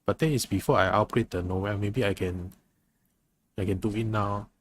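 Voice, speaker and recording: A man giving casual live game commentary as a streamer, halting and often rephrasing himself. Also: on the low side, steady tone, a little hiss or hum. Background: game music.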